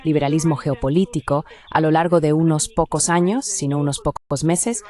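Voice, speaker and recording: Low-pitched woman explaining as an expert. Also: moderate pace, dark tone. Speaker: interview guest, academic expert.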